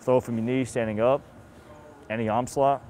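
A man's voice: mid-range voice, steady tone, normal speed, darkish tone, a little hiss or hum. A man with a Massachusetts accent coaching baseball catching drills in casual, encouraging, slangy bursts. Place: outdoor batting cage and bullpen.

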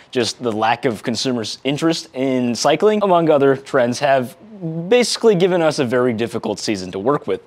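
A young man narrating a vlog from a garage full of bikes, presenting in an upbeat way. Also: a little high. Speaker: video narrator.

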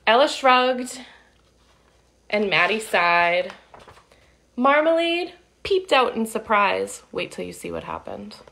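Slow, animated woman reading to children. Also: mid-range voice, gravelly. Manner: animated, slow and deliberate, sing-song child-directed delivery. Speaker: children's storytime reader. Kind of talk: expressive picture-book read-aloud with friendly asides to young children. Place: living room at home, indoors. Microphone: phone or webcam at arm's length.

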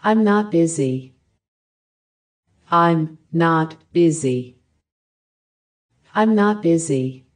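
Slow, careful woman reading drill phrases. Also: low voice. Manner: even, careful enunciation of short phrases. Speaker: language lesson reader.

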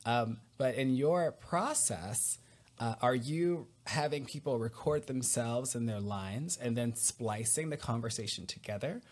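A man's voice: medium pitch, unhurried. A man in his forties hosting a podcast, asking curious, friendly questions over a headset on a video call from home. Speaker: podcast host. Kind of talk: casual interview. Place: home room, indoors.

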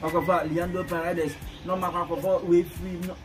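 A man in his twenties with a Ghanaian accent gives casual football commentary as a co-host. He talks fast in a fairly high voice, with music clearly audible in the background. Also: flat delivery. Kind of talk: casual sports commentary, mixing English with a local language. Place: small bare indoor room, seated at a table behind a laptop.

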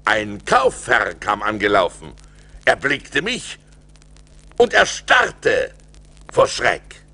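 A man's voice: fairly low pitch, takes it slowly, creaky, heavily acted. An actor playing a fearful yet resourceful seafaring adventurer, telling his perils in a theatrical, suspenseful way for an audio play. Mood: tense, excited. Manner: theatrical, suspenseful, expressive delivery. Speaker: narrator and lead actor. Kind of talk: dramatic storytelling in a German audio play. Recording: vinyl record transfer.

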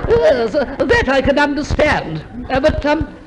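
Very high, flustered, pompous police inspector. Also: male voice, wildly swooping pitch, a little slow, a loud crowd, full character voice. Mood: indignant. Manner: stammering, blustering, old-fashioned exclamations. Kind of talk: broad comedy sketch acting. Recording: noisy old comedy recording.

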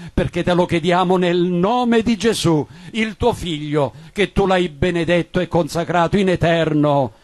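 High-pitched man preaching. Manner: emphatic, declamatory, repetitive exhortation. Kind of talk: evangelical Pentecostal sermon and prayer.

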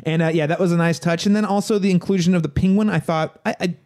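A man's voice: high voice, steady tone, fast, darkish tone. A man co-hosting a podcast, chatting casually about pop culture in an enthusiastic, agreeable way. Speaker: podcast co-host.

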